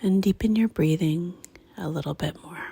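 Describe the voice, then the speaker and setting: A woman leading a guided meditation in a hushed, breathy, gentle and unhurried way, leaving long pauses between phrases. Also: fairly low pitch, no echo. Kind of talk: guided meditation, slow and soothing.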